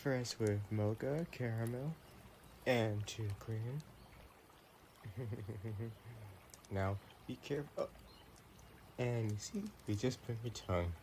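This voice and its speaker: A man playing an affectionate, playful vampire boyfriend in an intimate roleplay audio, chatting in a gentle, teasing way. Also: mid-range voice, slow, long pauses, slight background noise. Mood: tender, caring.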